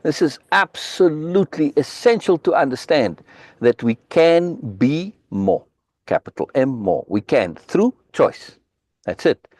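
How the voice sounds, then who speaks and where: A man's voice: a little high, sing-song, unhurried, darkish tone, no echo. A man from Africa, hosting a live book reading discussion on a video call, speaking emphatically and insistently and repeating key words for force. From South Africa.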